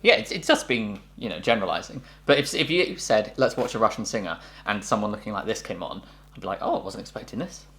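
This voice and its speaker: A man's voice: fairly low pitch, expressive, fast talker, a bit bright, faint music behind. A British man co-hosting a reaction show in a casual chat, reading out facts in a dry, matter-of-fact way. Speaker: co-host of a reaction channel.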